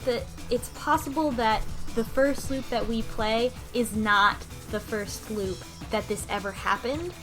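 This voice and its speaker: A woman talking casually on a discussion panel, speculating in loose, animated runs peppered with "like". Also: on the high side, measured pace, hardly any pauses. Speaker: book club podcast panelist. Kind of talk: casual discussion of a video game story. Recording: music playing under the talk. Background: background music.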